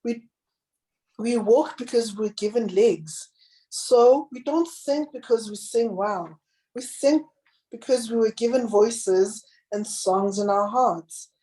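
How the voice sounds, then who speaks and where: A woman with a husky voice voicing a loving, wise grandmother who comforts her granddaughter. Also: fairly high pitch, slow pace, poor audio. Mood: tender, reassuring. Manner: gentle, reassuring storybook voice.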